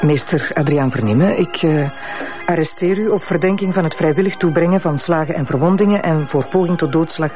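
A woman's voice: low voice, brisk, dark tone, soft background music, close to an everyday voice. Actress as a strict, formal Flemish female prosecutor. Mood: stern, formal. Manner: formal, clipped, authoritative. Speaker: actor in a crime drama. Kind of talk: acted drama dialogue.

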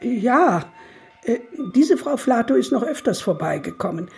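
A woman playing a gossipy, lonely, unsettled neighbour in a German radio crime drama, chatty and creaky-voiced. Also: on the high side, big pitch swings, unhurried, mellow, faint music behind, full character voice. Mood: gossipy, wistful. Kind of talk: German radio play acting.

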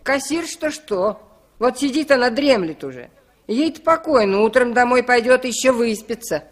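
Careworn old village widow. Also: female voice, fairly high pitch, animated, normal speed. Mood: suspicious, fretful. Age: older. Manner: plain-spoken, folksy, grumbling. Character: suspicious, careworn village widow of sixty-three. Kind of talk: 1960s Soviet radio drama acting.

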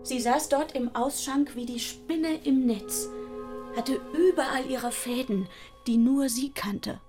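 An actress playing an aggrieved young barmaid in a literary radio drama, speaking in deliberate, drawn-out phrases and bitter about her rival. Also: high-pitched. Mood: hushed, suspicious. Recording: studio voice over prominent music. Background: music.

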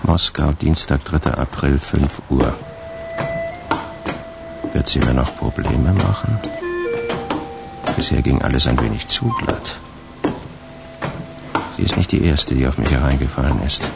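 A man's voice: unhurried, long pauses, full character voice. An actor playing a calculating, sinister suspect reading his diary as a brooding monologue in a radio crime drama. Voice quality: gravelly, very deep. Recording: radio drama with loud music underneath.

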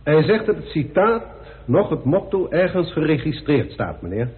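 A man's voice: on the high side, sing-song, moderate pace. An actor playing a dutiful male police official, deferential and matter-of-fact, in a radio play. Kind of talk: Dutch radio drama acting. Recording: muffled old radio play recording.

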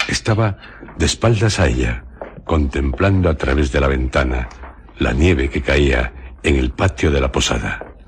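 A man with an extremely low voice narrates a story for radio. He delivers the literary text phrase by phrase, in a measured way, with faint noise on the recording.

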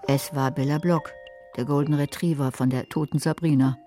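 An actress playing a scheming, bitter, watchful older woman who narrates a crime radio play in a measured, controlled, literary way. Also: low-pitched, steady tone, mellow, faint music behind, lightly acted. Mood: guarded, wry.

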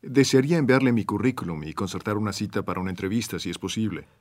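A man's voice: lowish voice, quick pace, dry recording, clean studio sound, lightly acted. A man playing a formal, polite job applicant in a scripted language-lesson phone dialogue.

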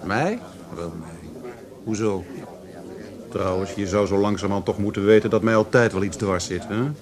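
An actor playing a jaded, wry older brother in a Dutch radio play, talking offhandedly. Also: male voice, on the low side, fairly even intonation, moderate pace, a crowd in the background, natural voice. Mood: wry, resigned.

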